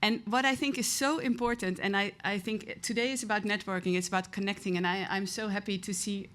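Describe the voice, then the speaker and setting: A woman from the Netherlands, a government official, speaking from the heart at an event in a warm, rambling way. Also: medium pitch, fairly even intonation, fairly quick. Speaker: government official giving remarks. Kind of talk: informal speech from the stage. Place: event hall.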